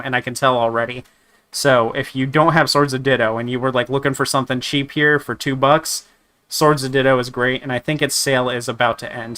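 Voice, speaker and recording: A man with a slightly raspy voice from a sore throat, chatting casually while reviewing games, rambling with many restarts and fillers. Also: medium pitch, sharp tone. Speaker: gaming streamer. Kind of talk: casual game review chat.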